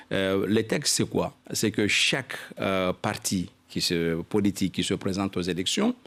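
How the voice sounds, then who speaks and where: Male interview guest, local politician, lower-pitched voice, formal register, halting and explanatory phrasing. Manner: halting, repeats "je dirais", explanatory.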